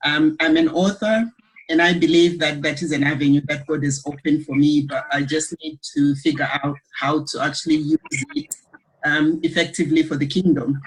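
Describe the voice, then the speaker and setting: A woman from Zimbabwe introducing herself on a video call webinar, pausing often with fillers. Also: deep voice, husky, rough recording. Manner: hesitant, filler-heavy. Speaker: panelist.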